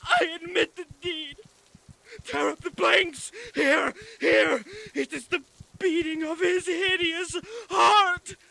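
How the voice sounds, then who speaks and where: High-pitched, creaky, unhinged murderer. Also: male voice, steady tone, slight background noise, heavily acted, low-quality recording. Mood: shrieking agony. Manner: theatrical, deliberate, swinging between hushed confiding and shrieking. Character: mad, guilt-ridden murderer confessing, boastful then frantic. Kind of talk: dramatic audio drama monologue of gothic horror.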